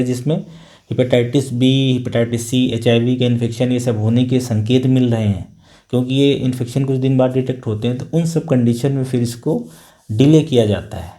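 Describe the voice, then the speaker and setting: A man, a doctor, giving a calm and patient health talk, plain-spoken and pausing now and then with small fillers. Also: mid-range voice.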